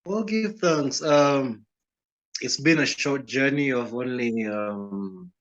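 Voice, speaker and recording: A man speaks in a calm, measured way, taking his time with pauses. His voice sits at a middle pitch. The recording sounds dull and lo-fi. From Uganda, Rwandan roots. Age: 30s. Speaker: guest musician. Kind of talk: interview answers.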